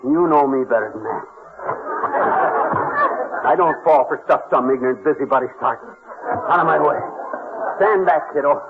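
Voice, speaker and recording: Man playing brash gullible husband, slangy American, old radio comedy, highish voice, muffled sound. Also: normal speed, pauses often, over a noisy crowd, natural voice. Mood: cocky, urgent. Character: blustery, gullible, know-it-all husband. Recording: muffled, noisy vintage radio recording.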